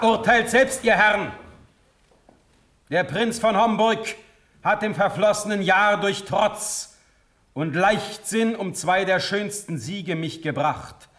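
A stern, magnanimous sovereign in a classical radio drama. He declaims verse very deliberately, with solemn authority, in a fairly high male register. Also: a bit bright. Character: stern, magnanimous ruling prince, measured and commanding.

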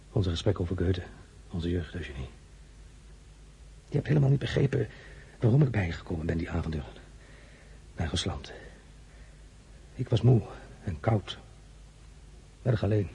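An actor playing a commanding, weary emperor in a radio drama, halting and imperious, with a nostalgic streak. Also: male voice, low-pitched, vocal fry, mellow, husky, heavily acted. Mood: wistful, pleading. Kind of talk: dramatic radio-play acting.

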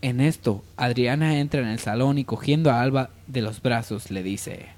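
A man's voice: medium pitch, normal speed, a little mellow. A man reading scripted narration for a radio soap opera.

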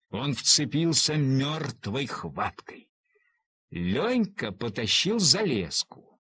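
Husky, animated male storyteller. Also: mid-range voice. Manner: theatrical, with drawn-out emphasised words. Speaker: storyteller, actor reading a short story. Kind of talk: expressive dramatic narration of a humorous literary story.

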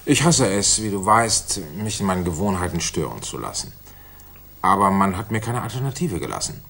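Casting: self-important, displeased Victorian official, formal speech, husky voice, animated intonation. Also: male voice, low voice, normal speed, heavily acted. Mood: formal, annoyed. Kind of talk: 1960s radio drama acting.

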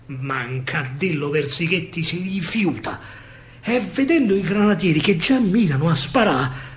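High-voiced Roman man, dramatic storyteller. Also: expressive, normal speed, slight background noise. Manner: declamatory, emphatic. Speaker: actor and storyteller. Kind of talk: dramatic verse narration, theatrical monologue. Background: music.

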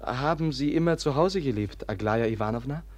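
A gentle, dazed young prince, played by an actor in an old radio drama, speaking softly and hesitantly, often close to a whisper. His breathy voice sits at a middle pitch. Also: expressive, fairly quick, no echo, lightly acted. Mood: hesitant, sincere. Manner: soft-spoken, hesitant, often near a whisper. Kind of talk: 1950s radio play acting.

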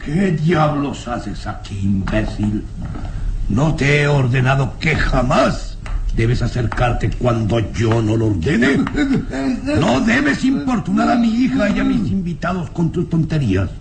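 A man's voice: on the high side, big pitch swings, measured pace, creaky, exaggerated voice. An actor playing a haughty, hot-tempered baron and stern father in a radio drama, speaking in an imperious, commanding way. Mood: furious. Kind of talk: old radio drama acting. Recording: noisy old radio serial recording.

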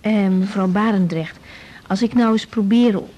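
Soft, husky young Dutch woman doctor played by an actress. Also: mid-range voice, moderate pace, natural voice. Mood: attentive, reassuring. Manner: gentle, attentive, tentative. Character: young female doctor, attentive and patient. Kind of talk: radio drama acting.

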